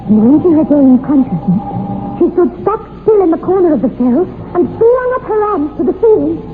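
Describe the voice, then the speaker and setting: An actress with a South African accent playing a troubled woman who recounts a dark family secret in hesitant, earnest, dramatic radio-play style. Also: very high-pitched, very animated, moderate pace. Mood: grave, haunted. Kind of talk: radio horror drama acting. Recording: muffled lo-fi old radio, faint noise.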